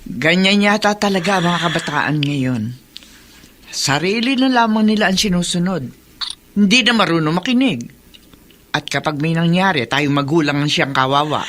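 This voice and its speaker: An actor playing a chatty, commiserating middle-aged friend and fellow parent in radio drama style. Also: male voice, on the high side, normal speed, fairly bright tone, a little hiss or hum, lightly acted. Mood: concerned, chatty. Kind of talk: Filipino radio drama acting.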